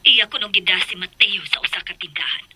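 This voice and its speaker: An actress in a radio drama playing a suspicious, stern middle-aged mother with a husky voice. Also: medium pitch, brisk, music in the background, natural voice.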